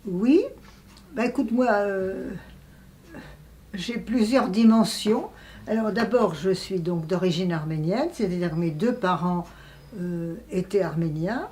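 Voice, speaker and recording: A woman answers in a reflective interview. She speaks slowly and hesitantly, searching for her words with frequent pauses. Her pitch sits in a middle range for a woman. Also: dark tone. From France. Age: 80s. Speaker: interviewee, writer and translator.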